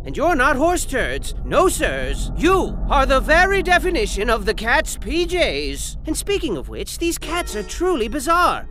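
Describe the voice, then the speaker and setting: Zany high-swooping storyteller. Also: male voice, bright, forceful tone, exaggerated voice. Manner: theatrical, sing-song, swinging from grand pronouncements to muttered asides. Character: zany, melodramatic male storyteller, mock-grand and childishly silly. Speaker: narrator. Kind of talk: comedic storybook narration for a cartoon video game. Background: music.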